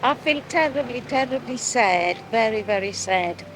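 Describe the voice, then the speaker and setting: A woman's voice: a little high, animated, moderate pace, noisy background. A woman in a street interview, speaking emotionally and repeating her words. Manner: emotional, repetitive. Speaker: member of the public.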